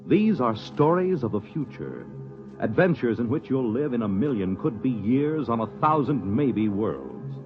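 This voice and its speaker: A man's voice: medium pitch, big pitch swings, measured pace. Theatrical 1950s radio announcer, man. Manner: sweeping, theatrical. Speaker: show announcer. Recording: dull 1950s radio broadcast with music.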